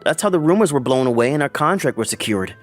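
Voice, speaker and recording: Man voicing a young office hero, earnest, polite, lively swooping delivery, light music behind, manga dub. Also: medium pitch, quick pace, close to an everyday voice. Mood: calm narration. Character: earnest young businessman hero, polite, narrating his own story. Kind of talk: manga dub voice acting and narration.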